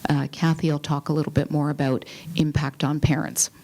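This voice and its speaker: A woman's voice: deep voice, flat delivery, brisk, warm tone. A woman, a community group co-founder, methodically reporting results on a panel. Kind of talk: panel discussion. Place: university event hall.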